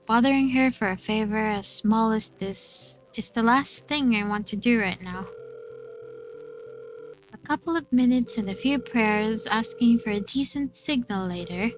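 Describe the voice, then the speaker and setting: A woman with a fairly high voice reads visual novel narration aloud as a gaming streamer. Her storytelling is expressive, recorded a little dull, with faint music behind her. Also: a little slow. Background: faint game music.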